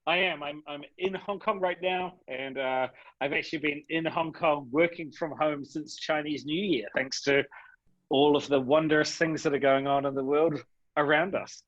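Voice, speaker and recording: A man serving as a judge, chatting casually and warmly on a video call. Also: a little high, fairly even intonation, moderate pace, dry recording, lo-fi sound. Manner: friendly, chatty.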